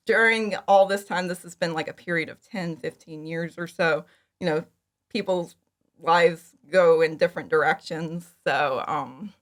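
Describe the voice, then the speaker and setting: A woman's voice: lowish voice, a little slow. A woman talking casually and informally as an interview guest, chatty and prone to acting out her own remarks. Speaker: podcast guest, fan audio drama creator.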